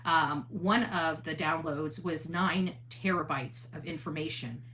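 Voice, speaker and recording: A woman's voice: sits low, a little slow, bright, forceful tone, a little hiss or hum. A woman hosting an online livestream, talking casually and earnestly in a monologue, often repeating and rephrasing her points for emphasis. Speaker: online channel host. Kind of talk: livestream talk, casual monologue with replies to chat.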